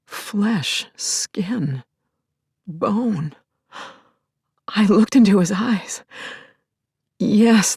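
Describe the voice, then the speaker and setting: Audio play lead, middle-aged widow in mourning. Intimate, questioning monologue, slow delivery, gravelly edges. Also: female voice, mid-range voice, a little mellow, no echo, studio quality, full character voice. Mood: shaken, astonished. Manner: intimate, searching, dropping into breathy whispers. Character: grieving middle-aged widow telling her story, searching and wistful. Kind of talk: dramatic first-person monologue, audio play.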